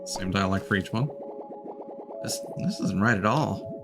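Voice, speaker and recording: A man's voice: lowish voice, animated, moderate pace, creaky. A man streaming a video game with casual, spoken-as-he-plays commentary. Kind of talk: casual live gameplay commentary. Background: game music.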